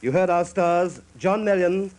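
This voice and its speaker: A man's voice: high voice, a little slow. A man presenting formally as producer-director of a 1950s radio theatre show. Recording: vintage radio broadcast with audience noise.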